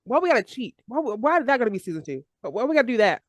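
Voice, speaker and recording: A woman's voice: fairly high pitch, sing-song, racing, no room sound, clean studio sound. A woman hosting a casual podcast chat, talking with lots of fillers and easy laughter. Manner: chatty, laughing, filler-heavy. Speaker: podcast host.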